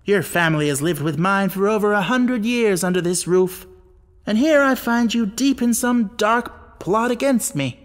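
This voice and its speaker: A man's voice: high voice, expressive, normal speed, long reverb tail, pitched above the performer's own voice. A man voicing a stern, commanding baronet in an audiobook mystery, blunt and indignant as he questions. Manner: blunt, demanding, forceful questioning.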